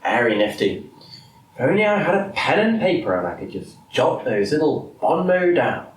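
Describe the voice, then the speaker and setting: A man's voice: medium pitch, very animated, normal speed, far from the mic, lightly acted. A man playing a wry, hesitant, exasperated mathematician in stage-style dramatic dialogue with a rival. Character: wry, hesitant male mathematician needling his stubborn rival. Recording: echoey room, off-mic, faint noise.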